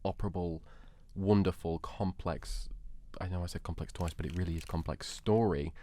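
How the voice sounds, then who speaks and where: A man's voice: low voice, steady tone, normal speed, mellow. A man arguing his opinion emphatically in a casual panel debate, repeating words for stress.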